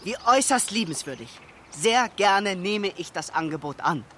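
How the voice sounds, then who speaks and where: A man's voice: high voice, animated, normal speed, a bit bright, slight background noise. An actor playing an ingratiating young clergyman who fawns over everyone. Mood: fawning. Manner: fawning, overly polite. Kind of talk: radio play acting.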